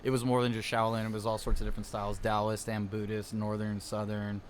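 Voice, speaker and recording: A man's voice: on the low side, monotone, brisk, slight background noise. Easygoing storytelling man in his thirties. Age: 30s. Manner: easygoing storyteller, laughs while he talks. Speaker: podcast co-host. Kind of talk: casual chat.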